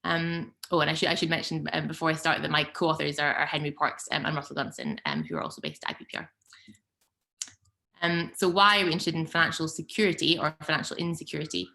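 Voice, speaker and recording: A woman's voice: sits low, quick pace, gravelly, sharp tone. A young woman giving an online seminar talk over a video call, hesitant with frequent ums and uhs. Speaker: invited seminar speaker, policy researcher. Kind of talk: presenting slides in an online seminar.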